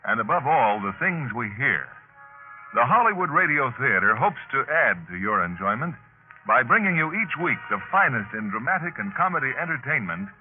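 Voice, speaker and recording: A man announcing a 1950s radio show in a grand, formal manner. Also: mid-range voice. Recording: tinny 1950s radio broadcast with music.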